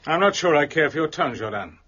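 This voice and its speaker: An actor playing a haughty, self-serving police prefect in an audio drama, pompous and condescending. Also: male voice, a little high, lively intonation, quick pace, fairly bright tone. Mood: veiled threat. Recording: dull, muffled audio.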